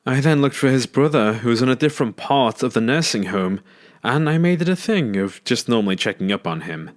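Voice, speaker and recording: A man narrating a scary story aloud in an expressive, storytelling way. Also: medium pitch, a little fast.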